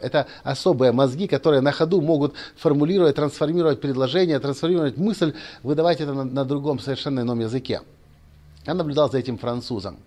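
Brief: motivational speaker, male, slightly high voice, earnest lecturing tone, restarts mid-sentence. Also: darkish tone. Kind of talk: direct-to-camera monologue.

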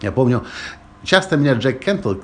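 A man's voice: medium pitch, lively intonation, normal speed, a bit bright. Emphatic man hosting a motivational podcast. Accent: Russian-Ukrainian. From Ukraine or Russia. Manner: emphatic, repeats key phrases, small restarts. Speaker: podcast host.

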